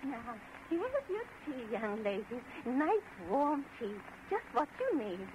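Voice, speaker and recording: A woman's voice: high voice, very animated, takes it slowly, highs cut off, exaggerated voice. An actress playing an elderly aunt in an old radio horror drama, fussy and brusque, repeating herself as she brushes off questions. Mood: soothing, fussy. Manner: fussing, repetitive, brushes off questions. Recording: noisy vintage radio recording.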